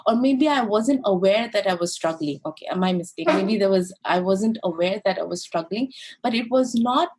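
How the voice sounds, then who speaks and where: A woman from India talks as a podcast guest, her voice slightly breathy and husky. She speaks in a lively, reflective way, often pausing on fillers and restarting her sentences. Her pitch sits in an ordinary middle range for a woman. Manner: animated, thoughtful, many fillers and restarts. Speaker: podcast guest, service designer. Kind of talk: casual interview conversation.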